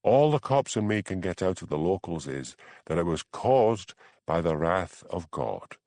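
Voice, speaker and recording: A man's voice: low voice, big pitch swings, unhurried, darkish tone, dry recording, heavily acted. A man voicing a brash, teasing Scottish detective in an audiobook crime story. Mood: wry, exasperated. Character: foxy, brash Scottish detective cadging whisky.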